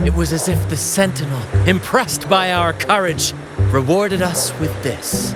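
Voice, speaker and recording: An actor playing a flamboyant, charming young troupe captain in a video game, speaking like a grandiose storyteller. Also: male voice, a little high, unhurried, lightly acted. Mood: dramatic storytelling. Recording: loud music under the voice.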